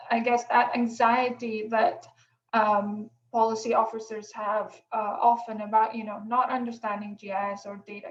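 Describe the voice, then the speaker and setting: A woman from Greece moderating an online workshop over a video call, relaxed, with many pauses and fillers. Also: a little high. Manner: relaxed, many ums, thinking aloud. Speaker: workshop facilitator. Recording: echoey room, dull lo-fi sound.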